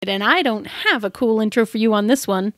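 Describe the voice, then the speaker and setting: A woman's voice: fairly high pitch, expressive, brisk, a bit bright, dry recording, clean studio sound. A woman co-hosting a podcast with goofy, playful, casual banter. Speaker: podcast co-host.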